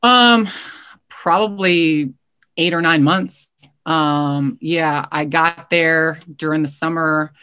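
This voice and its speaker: A woman's voice: sits low, takes it slowly, pushed and bright, clear, resonant tone, no echo. A young American woman speaking as an interview guest, reflecting earnestly on a coaching career in a conversational way. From Hawaii, United States.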